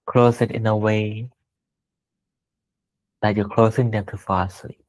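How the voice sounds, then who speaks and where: A man leading a guided meditation as a teacher, speaking gently and deliberately with pauses between short phrases. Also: lowish voice, little pitch movement, low-quality recording.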